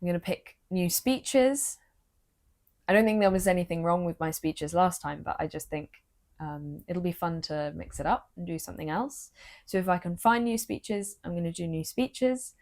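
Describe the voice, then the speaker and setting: A young woman, vlogging earnestly into her phone with a low-energy voice, confiding and emotional. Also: moderate pace, a little mellow. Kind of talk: personal vlog talking to camera.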